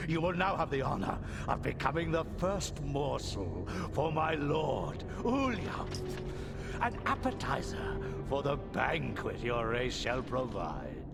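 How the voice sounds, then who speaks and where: An actor playing a gloating ancient undead sorcerer in a video game, pompous, theatrical and full of menace. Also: male voice, mid-range voice, vocal fry, exaggerated voice. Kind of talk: video game villain acting. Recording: loud music behind the voice. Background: loud music.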